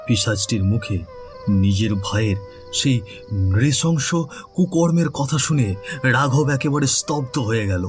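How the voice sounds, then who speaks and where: A man narrating a scripted horror story as storyteller, in a solemn, theatrical manner. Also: medium pitch.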